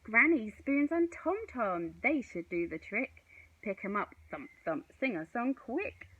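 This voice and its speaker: A woman voicing a brisk, unflappable boy hero in a children's storybook, chanting his instructions in a commanding way. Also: on the high side, animated, fairly bright tone, husky, highs cut off, pitched above the performer's own voice, heavily acted. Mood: confident.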